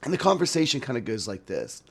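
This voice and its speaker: A man talks casually to his viewers as the host of a sim racing channel. His voice is hoarse from a sore throat. He rambles and restarts his sentences, and his pitch sits in the middle range for a man. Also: big pitch swings, quick pace. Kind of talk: casual talk to camera.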